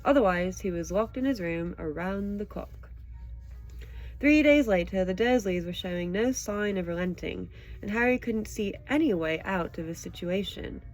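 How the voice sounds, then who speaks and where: A woman's voice: mid-range voice, lively intonation, normal speed, soft background music. A woman reading stories aloud expressively and chatting casually about them. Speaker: audiobook reader and show host. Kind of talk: expressive storybook narration, then casual chat with a child listener.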